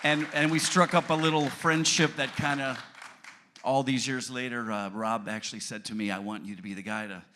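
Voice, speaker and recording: A man with a bright, forceful voice tells a self-mocking anecdote to a room on a cruise ship. He talks into a handheld mic and chuckles along with his own story. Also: mid-range voice, steady tone, normal speed, a faint crowd. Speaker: band member, lead singer. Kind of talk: storytelling on a panel. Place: cruise ship event room before an audience. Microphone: handheld microphone.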